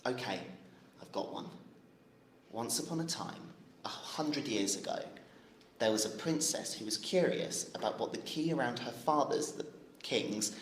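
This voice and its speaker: Man acting excitable young male dreamer, audio drama, informal delivery, roomy echo, faint background noise. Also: mid-range voice, a little slow, close to an everyday voice. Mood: storytelling. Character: eager, excitable young man who believes in fairy tales.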